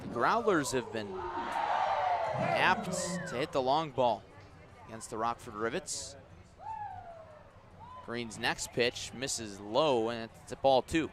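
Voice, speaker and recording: A man doing live baseball play-by-play as a radio announcer, giving measured, detailed commentary that rises on each pitch. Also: animated, a loud crowd.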